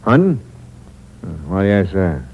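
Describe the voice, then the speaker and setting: A man's voice: deep voice, sing-song, normal speed. An actor playing a cold, hard-boiled con man who narrates in the first person in a 1950s radio drama, with tough, clipped slang and a menacing edge. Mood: wary. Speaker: narrator and lead character. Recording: dull 1950s radio broadcast with hum.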